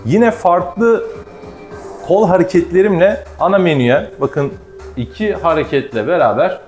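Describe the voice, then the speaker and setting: A man's voice: sits high, unhurried, a little mellow, dull audio, soft background music. A man presenting a live product demonstration in formal, explanatory wording.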